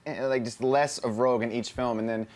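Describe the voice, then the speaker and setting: A man's voice: medium pitch, fairly even intonation, fairly quick, darkish tone. A man giving his take casually as a panelist on a movie talk show.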